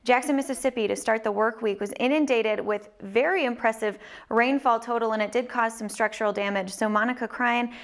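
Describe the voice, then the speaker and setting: Higher-pitched woman presenting the weather. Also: steady tone, a little fast. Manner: fluent, presentational. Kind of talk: live broadcast weather forecast.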